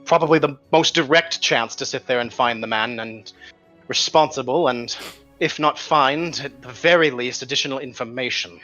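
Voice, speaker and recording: A man's voice: mid-range voice, normal speed, sharp tone, highs cut off, soft background music, lightly acted. A man voicing a cautious, formal informant in a fantasy tabletop mystery, choosing his words hesitantly and wordily. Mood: guarded, formal. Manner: hesitant, wordy, formal phrasing. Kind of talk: improvised tabletop roleplay.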